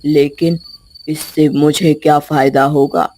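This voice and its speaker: Woman acting old male holy man, stern, grave, lowered pitch, condescending, storybook character. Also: level tone, slow, dark tone, a little hiss or hum. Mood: skeptical. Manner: grave, challenging, speaks down to a child.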